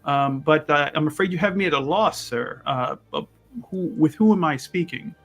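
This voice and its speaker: A man playing a pompous, condescending adventurer mage in a tabletop game, wordy and often halting mid-sentence. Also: fairly high pitch, creaky, a bit bright, faint music behind. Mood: self-important.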